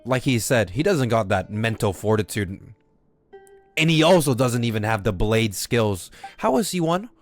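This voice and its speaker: A man's voice: mid-range voice, very animated, normal speed, soft background music. A man streaming a game, giving casual live commentary in a slangy, incredulous way.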